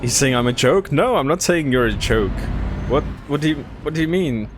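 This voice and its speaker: A man's voice: mid-range voice, moderate pace. A man from Czechia streaming casual chat while driving, laid-back and given to chuckling and trailing off. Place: inside a car on the road. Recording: steady road and car noise. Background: car and road noise.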